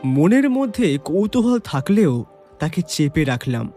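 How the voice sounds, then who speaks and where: A detective's reflective male companion narrates an audio mystery. His voice is high for a man and very lively in pitch, with expressive, atmospheric storytelling over music. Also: measured pace. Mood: expectant. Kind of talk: dramatised first-person story narration.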